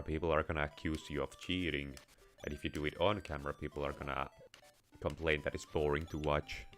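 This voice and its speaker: A man with an extremely deep voice and a Finnish accent blended with American and a hint of Russian talks over a game. He rambles casually and wryly, swearing now and then. Faint music runs in the background. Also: fairly bright tone. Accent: Finnish, with American and slight Russian touches. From Finland. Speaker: let's play streamer. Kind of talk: casual gameplay commentary.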